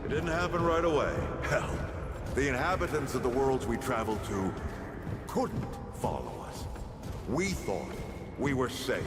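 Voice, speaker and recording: A man's voice: mid-range voice, very animated, measured pace. An actor playing a weary, haunted older founder telling his story in a video game. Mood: grim, reflective. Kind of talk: cinematic video game acting. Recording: loud music behind the voice.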